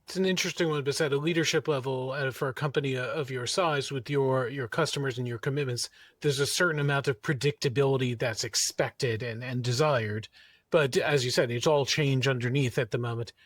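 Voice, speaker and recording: A man with a medium-pitched voice interviews a guest in a considered, conversational way. The sound is dry, with no room echo to speak of. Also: steady tone, brisk. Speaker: podcast host, interviewer.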